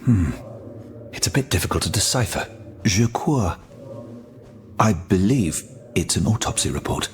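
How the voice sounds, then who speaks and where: A man voicing a courtly, fatherly older French astronomer in a video game, fussy and expressive, with a French accent. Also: low voice, unhurried, long pauses. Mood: genial, thoughtful. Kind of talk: scripted video game cutscene dialogue.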